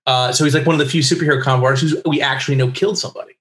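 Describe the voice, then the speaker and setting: A man's voice: mid-range voice, fairly even intonation, rapid-fire, a bit bright. A middle-aged American man explaining things as a guest in a casual interview, talking in a discursive, informative way. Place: home room lined with bookshelves. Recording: roomy home video call.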